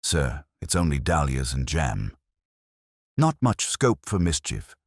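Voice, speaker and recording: A man's voice: very deep voice, wildly swooping pitch, slow, husky, no room sound, clean studio sound, heavily acted. A man voicing an uneasy, deferential police sergeant who talks plainly and doubtfully in a mystery story.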